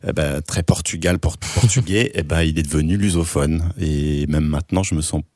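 A man's voice: a bass voice, normal speed, a little mellow, dry recording, studio quality. A man, a radio co-host, chatting in an interview and rambling with frequent restarts and fillers. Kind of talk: casual interview chat.

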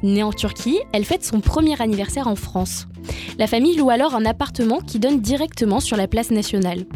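A woman with a somewhat high voice reads a scripted documentary narration. Faint music plays underneath her words. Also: brisk, warm tone.

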